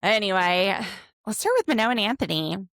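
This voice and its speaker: A woman in her thirties hosting a casual podcast from home, chatty and quick to laugh as she picks apart a reality show. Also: medium pitch, animated, fairly bright tone, no echo. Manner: chatty, laughs often, overanalyzing. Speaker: podcast host, attorney.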